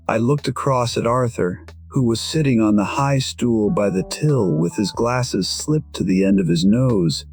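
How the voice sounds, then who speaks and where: A man voicing a weary, proud musician in his sixties, narrating an audio drama in a measured, reflective way. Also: mid-range voice, darkish tone, close to an everyday voice. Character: weary, proud 62-year-old male musician, quietly regretful. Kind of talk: first-person scripted narration, audio drama.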